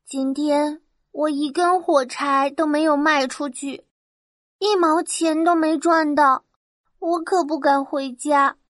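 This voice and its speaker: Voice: high voice, steady tone, slow, a bit bright, no room sound, studio quality. A performer voicing a poor, cold, hungry little match-selling girl in a children's storybook, pleading and exclaiming. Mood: sad.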